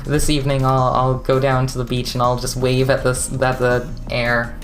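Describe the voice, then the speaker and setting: A woman's voice: extremely low pitch, completely flat delivery, moderate pace, soft background music. A young Canadian woman with a West Coast accent, chatting casually with her stream viewers, rambling with lots of fillers and frequent laughs.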